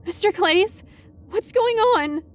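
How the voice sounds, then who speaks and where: Woman acting a squeaky, panicked teenage schoolgirl. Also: expressive, normal speed, a bit bright. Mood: frightened, pleading. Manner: urgent, frightened pleading. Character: panicked teenage schoolgirl narrator, anxious and insistent.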